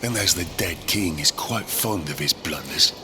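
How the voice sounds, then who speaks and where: An actor playing a proud, bitter, battle-worn undead warrior in a video game, speaking in a dramatic, brooding way. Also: male voice, deep voice, expressive, moderate pace, heavy background noise. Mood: grave. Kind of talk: video game cutscene acting. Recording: loud cinematic music behind.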